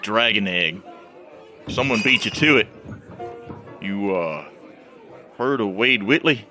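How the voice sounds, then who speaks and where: A laconic old barman of a frontier town in a western fantasy audio drama, dry and cautious. His voice sits at an ordinary pitch over saloon music and a crowd murmuring behind. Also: animated, slow pace. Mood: cagey. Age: older.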